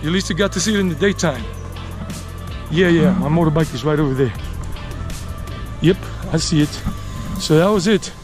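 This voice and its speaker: A man's voice: on the high side, normal speed, long pauses, over music. A man of forty-nine chatting casually and thinking aloud as he hosts a walking vlog outdoors on a city street. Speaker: travel vlogger and walking tour host. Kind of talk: casual walking commentary to viewers. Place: outdoors, walking a leafy urban residential street.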